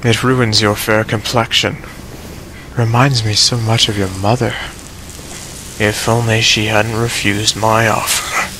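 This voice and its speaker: Man acting a menacing, gravelly vampire villain. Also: on the low side, flat delivery, a little hiss or hum, rough recording. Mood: menacing mock regret. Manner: menacing, drawn-out mock-polite taunts that break into shouting. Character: vengeful male vampire villain, taunting and unhinged. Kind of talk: dramatic audio fiction acting.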